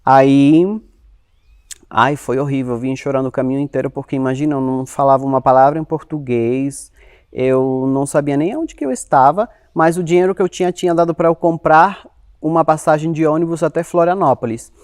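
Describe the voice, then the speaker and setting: Lively Uruguayan man with a clipped dry sound. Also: a little high, brisk, clean tone, no room sound. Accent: Uruguayan Spanish. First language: Spanish. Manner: animated, expressive, quotes himself in lively voices. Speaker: guest. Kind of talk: casual interview storytelling.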